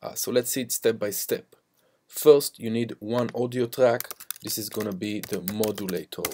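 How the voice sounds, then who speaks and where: A man teaching music production, walking through software steps in an informal, instructional way. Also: on the low side, fairly even intonation, moderate pace, slight background noise. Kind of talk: step-by-step software tutorial. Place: voice-over to a screen recording.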